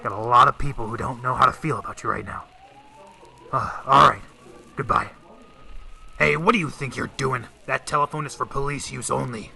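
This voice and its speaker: An actor playing a brusque, hard-boiled vintage police detective in an old-time radio drama, gruff and clipped with grandiloquent turns. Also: male voice, medium pitch, normal speed, pauses often, bright, forceful tone. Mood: brisk.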